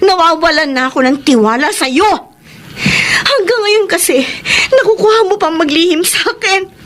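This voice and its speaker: A woman's voice: very high voice, expressive, normal speed. A Filipino actress playing a hurt, reproachful wife confronting her husband in an emotional radio drama. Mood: tearful, betrayed. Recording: loud dramatic music under the voice.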